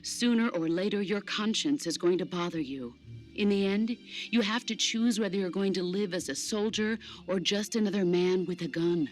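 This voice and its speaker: A woman playing a composed, stern, philosophical military mentor in a video game, speaking with measured authority. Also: medium pitch, soft background music, natural voice. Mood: solemn, instructive. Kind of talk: video game cutscene acting.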